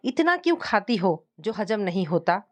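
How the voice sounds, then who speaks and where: A woman's voice: mid-range voice, very animated, moderate pace, lightly acted. A woman voicing an irritable, suspicious, controlling husband in a narrated domestic story, scolding and dismissive. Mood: irritated, scolding.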